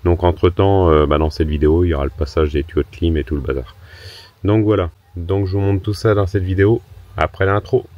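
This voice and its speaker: Hoarse deep-voiced man vlogging. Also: a little fast, dull audio. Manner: hesitant, lots of filler sounds, relaxed explaining. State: hoarse, a little sick. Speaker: vanlife vlogger. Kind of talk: casual DIY vlog talk. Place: inside his converted bus.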